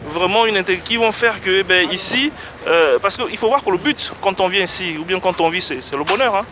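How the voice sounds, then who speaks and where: Middle-aged man, lecturing, measured, repeats words, high voice, busy street outdoors, phone mic, clear traffic noise. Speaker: passerby interviewee. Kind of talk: street interview answer. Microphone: interviewer's handheld phone.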